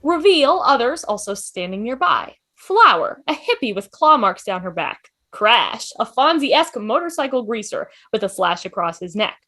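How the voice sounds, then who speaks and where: A woman's voice: a little high, very animated, moderate pace, few pauses, sharp tone. A woman reading scripted stage directions for an amateur table read, announcing each scene.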